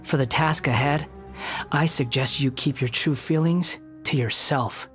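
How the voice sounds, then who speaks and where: A man's voice: a little high, measured pace, a bit bright. A man playing a sardonic, haughty young villain in a video game, with a mocking, theatrical, condescending delivery. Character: sardonic, haughty young male puppet villain with bitter temper. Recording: music behind the voice.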